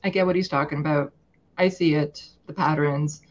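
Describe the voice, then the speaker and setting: Lower-voiced woman, slightly dull recording. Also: steady tone, normal speed. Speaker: podcast guest. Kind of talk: casual political discussion.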